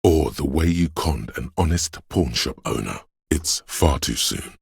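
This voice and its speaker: An actor voicing a gentle, perceptive inner voice of empathy in a video game, with solemn, measured, intimate narration in a gravelly, husky voice. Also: male voice, a bass voice, animated, no echo, studio quality, heavily acted. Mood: grave, reproachful. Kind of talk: dramatic second-person game narration.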